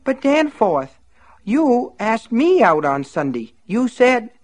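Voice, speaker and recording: A man voicing a terrified, shrill-crying wife. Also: huge pitch swings, takes it slowly, pushed and bright, slightly rough, heavily acted. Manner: pleading, shrill cries of pain. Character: frightened, timid middle-aged wife, woman. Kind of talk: audiobook narration.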